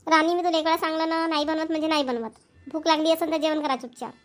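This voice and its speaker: A woman's voice: high voice, level tone, fast talker, lightly acted. A woman playing a nagging village mother in a cartoon family comedy, with a Vidarbha Marathi accent. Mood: firm scolding. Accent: Maharashtrian, Vidarbha Marathi. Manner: nagging, scolding. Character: scolding village mother of bickering children.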